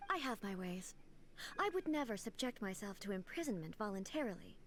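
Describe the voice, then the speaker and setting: A woman playing a dignified, ageless female deity who rules, speaking in a formal, deliberate, composed way in a video game. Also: mid-range voice, very animated, lightly acted. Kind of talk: video game character acting. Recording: game audio with faint background noise.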